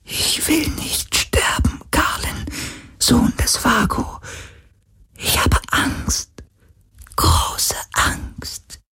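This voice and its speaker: A man narrating puts on an old, lonely spirit of the sea, a glowing sphere of slime. Its voice sits high and thin and drags slowly along. Much of it comes out as a husky, breathy whisper. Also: no echo, heavily acted. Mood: frightened. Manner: slow, high, airy whispering. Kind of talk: audiobook character voice.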